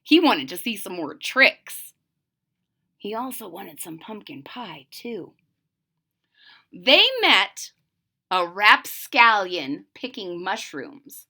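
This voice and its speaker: Animated, bright-voiced woman reading to children. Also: mid-range voice. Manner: deliberate, animated, addressing children directly. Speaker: storybook reader. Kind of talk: expressive read-aloud for young children, with asides.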